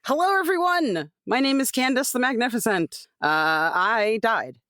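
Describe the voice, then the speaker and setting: Lively, theatrical woman telling stories. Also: fairly high pitch, moderate pace, no echo, studio quality. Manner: playful, theatrical, sweary. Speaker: tabletop role-play performer. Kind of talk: casual, animated storytelling chat.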